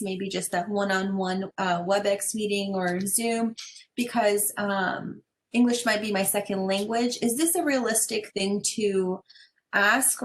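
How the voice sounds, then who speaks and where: A woman moderating a panel, steadily and clearly reading out audience questions. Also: medium pitch.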